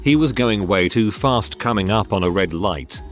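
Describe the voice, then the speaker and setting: A man with a lowish voice reads short stories aloud in a steady, scripted narration. His delivery is lively in pitch and carries dry asides. Faint music plays underneath. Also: normal speed.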